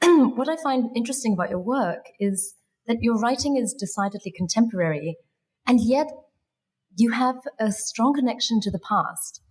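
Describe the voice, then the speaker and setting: A woman's voice: fairly high pitch, expressive, moderate pace, darkish tone, slightly rough, rough recording. Polite woman moderator with many ums. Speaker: festival moderator. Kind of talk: onstage interview.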